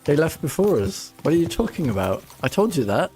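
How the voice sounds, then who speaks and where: A man's voice: on the high side, very animated, fairly quick, a little mellow. A man giving casual, offhand live commentary as he plays a video game, half talking to himself. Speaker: game streamer. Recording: game music behind the voice. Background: game music and horse sounds.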